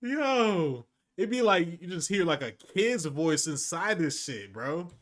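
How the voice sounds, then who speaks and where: Reaction host: young American man, amused, slangy, swears, animated pitch, unhurried. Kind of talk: casual reaction commentary.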